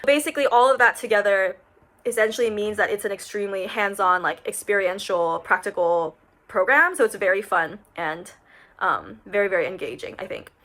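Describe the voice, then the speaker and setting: Brief: young woman, recent grad, quick chatty delivery, filler-heavy. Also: medium pitch, lively intonation, a bit bright. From United States. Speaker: recent graduate. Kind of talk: casual talk to camera.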